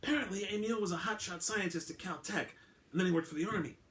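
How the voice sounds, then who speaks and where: A man's voice: sits high, quick pace, a bit bright, voice pushed up. A man voicing an incredulous, cynical and foul-mouthed male police detective in a story reading. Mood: informative.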